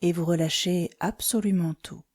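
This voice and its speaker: A woman guides a meditation in a fairly low voice with a very mellow, relaxed tone. She gives instructions calmly and soothingly, in short phrases separated by pauses. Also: breathy edge. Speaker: yoga nidra instructor.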